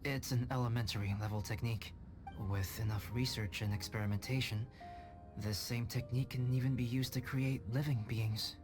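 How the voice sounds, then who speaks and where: Young scholar-alchemist for a game, male actor: composed, polite, formal phrasing, medium pitch, music behind. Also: steady tone, normal speed, close to an everyday voice. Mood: calm, explanatory. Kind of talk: video game cutscene voice acting.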